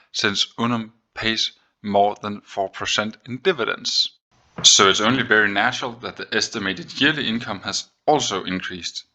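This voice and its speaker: Low, very bright-voiced man explaining methodically. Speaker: host of an investing video series. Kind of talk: explanatory screen-share commentary on finances.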